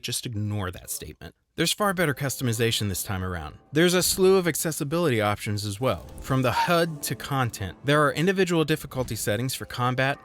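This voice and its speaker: A man's voice: mid-range voice, fairly bright tone. Dry-witted man narrating a game review. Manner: dry, self-deprecating humor with sarcastic asides.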